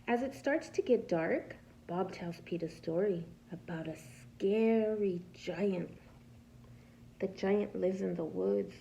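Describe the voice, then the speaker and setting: A woman preschool teacher reading a picture book aloud to young children in a patient, teacherly way, pausing to ask simple questions. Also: medium pitch, vocal fry, mellow, a little hiss or hum, rough recording.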